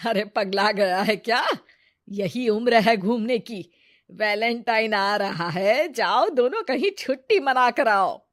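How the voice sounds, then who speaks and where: A woman's voice: a little high, takes it slowly. A woman playing a bossy, loving old grandmother in a family story, scolding in a playful, folksy way. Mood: nagging affectionately.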